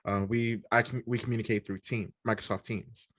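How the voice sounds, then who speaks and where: A young man with a deep voice hosting a podcast, chatting casually with pauses and restarts. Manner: relaxed, halting with fillers and restarts. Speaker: podcast host. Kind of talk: casual interview chat.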